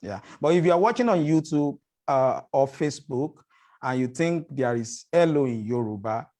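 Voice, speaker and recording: Man, show founder and co-host, relaxed panel chatter, laughs often, mid-range voice. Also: medium pitch, normal speed. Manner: laughing often, teaching greetings. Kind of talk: casual panel chat.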